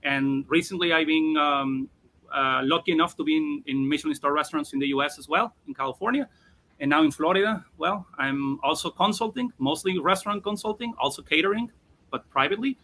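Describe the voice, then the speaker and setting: A male chef introduces himself on a live video call and keeps circling back and restarting his sentences. His voice is a little high for a man and has a bright, forward tone. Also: fairly quick, a little hiss or hum, poor audio. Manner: rambling, with many restarts and fillers. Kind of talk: casual live video chat.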